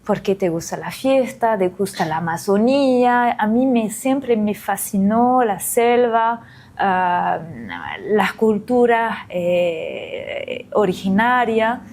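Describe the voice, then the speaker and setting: A woman's voice: medium pitch, takes it slowly, a little mellow. A woman in her forties from France, an illustrator giving an interview, talking reflectively with many fillers and restarts.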